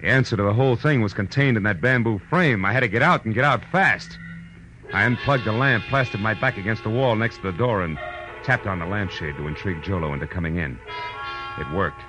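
An actor playing a tough, wry private detective in a vintage radio drama, dry and terse. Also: male voice, fairly low pitch, brisk, music in the background, natural voice, rough recording. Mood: tense, dry. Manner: hard-boiled, dry, terse. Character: tough, wry male private detective narrating his case. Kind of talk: 1940s radio drama acting and narration.

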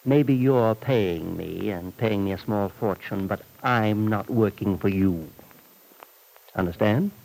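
A shady hired fixer in an old radio drama, with a lowish, very creaky voice. He is sardonic and domineering, laying out threats with deliberate menace. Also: darkish tone, rough recording. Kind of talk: vintage radio serial acting. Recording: vintage radio drama recording.

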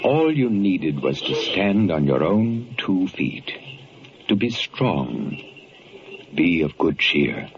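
Wise gentle old-radio doctor, slow lofty delivery, really noisy muffled recording. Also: male voice, low-pitched, very animated, gravelly, heavily acted. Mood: gentle, uplifting. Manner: measured, earnest, lofty, theatrical. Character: gentle, wise doctor, serene and uplifting, faintly mysterious. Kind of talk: old-time radio drama acting.

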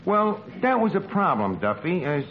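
An actor playing a brash, wisecracking New York tavern manager with ungrammatical, mock-refined speech, in 1940s radio comedy style. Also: male voice, sits high, very animated, normal speed. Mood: wry. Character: brash, malapropping tavern manager with pretensions to class. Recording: noisy, muffled old radio broadcast recording.

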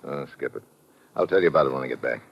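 An actor with an American accent playing a tough, world-weary, wisecracking adventurer in a 1950s radio drama, terse and sardonic. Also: male voice, rumbling low, racing, vocal fry, full character voice. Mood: low, steady. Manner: hard-boiled, terse, sardonic. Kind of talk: 1950s radio drama acting, first-person noir narration. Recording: dull vintage radio recording with music.

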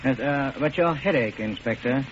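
An actor playing a polite, coolly murderous doctor obsessed with research, speaking with genteel precision in an old radio drama. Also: male voice, mid-range voice, normal speed, lightly acted. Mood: nervously polite. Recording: muffled, noisy old radio recording.

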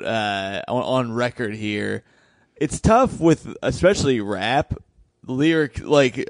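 A man's voice: medium pitch, dry recording. A young man hosting a podcast in casual chat, joking, laughing often and rambling. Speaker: podcast host.

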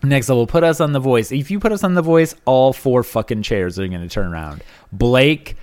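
A man's voice: medium pitch, animated, a little mellow. Joking man co-hosting a chat podcast. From Alabama. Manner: joking, quick banter, reads listener reviews aloud. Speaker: podcast co-host. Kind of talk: casual comedic chat.

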